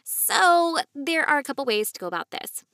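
A woman's voice: high voice, big pitch swings, normal speed, sharp tone, no echo, clean studio sound. A woman co-hosting an instructional podcast, chatty and explanatory, stressing points by repeating words. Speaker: podcast co-host.

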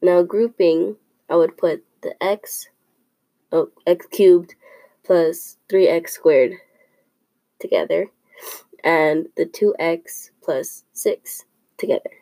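Slow, sniffly young woman explaining math. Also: on the low side, mellow, dry recording, poor audio. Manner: slow and careful, thinking aloud with small restarts. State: sniffly, slightly congested. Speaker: student podcaster explaining math. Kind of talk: informal classroom podcast explanation.

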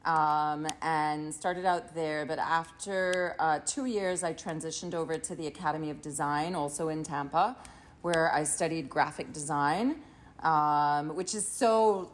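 A woman in her forties from Trinidad, chatting as a podcast guest, thoughtful with many pauses. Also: sits low, slight background noise. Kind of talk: casual interview chat.

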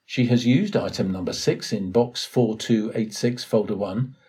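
A man reading a written essay aloud as scripted narration, in a formal, measured way. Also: lowish voice, warm tone, husky. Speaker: volunteer reader of an audio blog post.

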